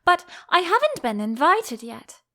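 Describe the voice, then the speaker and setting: A woman's voice: extremely high pitch, big pitch swings, a little slow, bright, forceful tone, voice pushed up, heavily acted. A woman voicing a curious, polite, slightly timid young girl in a storybook, earnest and questioning.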